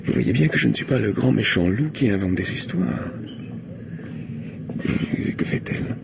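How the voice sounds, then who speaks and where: Wheedling gentleman, low voice, stammering, talkative, radio drama, noisy old recording. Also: lively intonation, moderate pace, gravelly. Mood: nervous. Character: glib, insistent gentleman pestering a young woman. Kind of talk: old French radio play acting.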